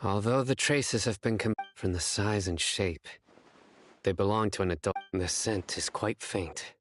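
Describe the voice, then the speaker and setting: A man playing a calm, terse, analytical young investigator in a video game, matter-of-fact and measured. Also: on the low side, faint music behind.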